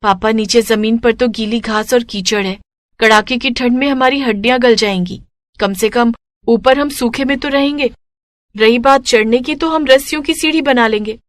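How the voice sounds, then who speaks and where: Resourceful young daughter, voiced by a woman, persuasive and earnest, higher-than-average pitch, dry studio-like sound. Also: normal speed, no room sound, close to an everyday voice. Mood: determined. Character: resourceful, hopeful young adult daughter of a poor family.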